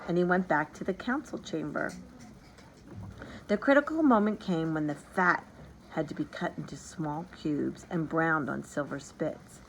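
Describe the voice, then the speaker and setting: Woman reading a children's story, noisy background. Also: on the low side. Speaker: storybook reader. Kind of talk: animated children's read-aloud. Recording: background noise behind the voice.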